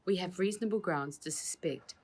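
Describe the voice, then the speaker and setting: Storybook officer, woman reading: low voice, official, measured, unyielding. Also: slightly rough. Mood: stern, official. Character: formal, unbending police officers making an arrest.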